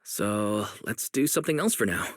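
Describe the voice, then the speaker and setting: A man's voice: fairly low pitch, lively intonation, normal speed, dry recording, clean studio sound, lightly acted. A man voicing an easygoing, friendly young video store manager in an anime game, casual and polite. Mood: relaxed. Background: faint game music.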